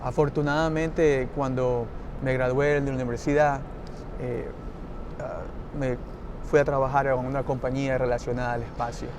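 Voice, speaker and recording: A man's voice: medium pitch, level tone, normal speed, background noise. A man with an Ecuadorian Spanish accent, an engineer in a roundtable conversation, who talks with many restarts and laughs easily. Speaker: NASA engineer.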